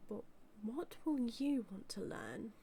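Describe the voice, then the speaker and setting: A woman with a British accent playing a doting, villainous mad scientist in an audio roleplay, musing in a measured, condescending yet tender way. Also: fairly high pitch, big pitch swings, gravelly, warm tone, a little hiss or hum, heavily acted. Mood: pensive, ambitious. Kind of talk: scripted audio roleplay monologue.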